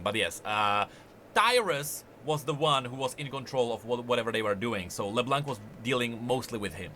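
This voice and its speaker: Male lore commentator, enthusiastic and emphatic, bright forceful tone, very lively intonation, slight noise behind. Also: mid-range voice. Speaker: reactor and game lore commentator. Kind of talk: excited off-the-cuff reaction commentary.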